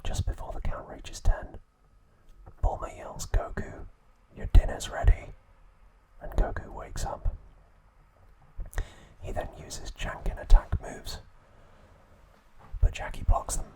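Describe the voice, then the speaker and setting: A man whispering a scripted recap as an ASMR host, in an unvoiced, breathy whisper with slow, measured phrasing. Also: a little mellow, poor audio.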